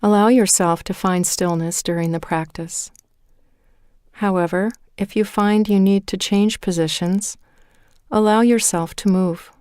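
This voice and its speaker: A woman leading a guided meditation in a calm, measured way, with deliberate pauses between phrases. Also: medium pitch, clear, resonant tone, no echo, studio quality. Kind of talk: guided meditation, scripted narration.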